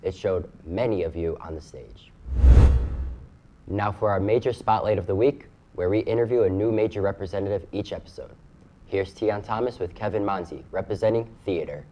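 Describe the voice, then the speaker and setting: A teenage boy hosting a student news show, reading a steady script. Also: lowish voice, moderate pace, dull audio, slight background noise.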